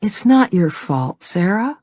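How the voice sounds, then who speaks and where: A woman's voice: mid-range voice, very animated, slow, a little mellow, no room sound, clean studio sound, voice pushed up. A woman reader voicing a supportive young woman friend with a reassuring manner.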